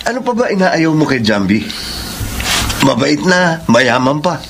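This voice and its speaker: A pushy older brother in Filipino radio melodrama. His insistent, nagging voice swings animatedly at a raised pitch, with clear noise behind it. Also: big pitch swings, a little slow, heavily acted. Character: pushy money-minded older brother scolding his sibling. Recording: noisy radio drama soundtrack.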